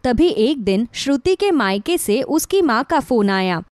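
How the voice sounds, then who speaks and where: High-pitched woman narrating a story. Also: big pitch swings, measured pace, darkish tone, no echo, studio quality.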